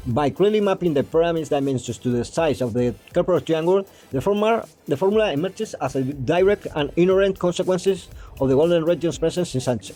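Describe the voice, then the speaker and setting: A man explaining geometry step by step, pausing and restarting often and stumbling when he reads English passages aloud. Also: fairly high pitch, warm tone. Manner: hesitant, with frequent restarts and fillers. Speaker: math explainer.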